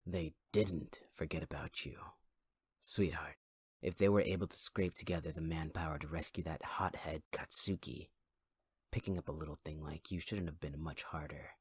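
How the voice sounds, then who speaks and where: A man voicing a taunting young male fire villain in an audio roleplay, speaking in a husky, mocking, intimate voice with close whispers. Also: a bass voice, fairly even intonation, moderate pace, no echo, heavily acted. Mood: cruel. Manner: mocking, cruelly patient, intimate. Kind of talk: ASMR audio roleplay acting.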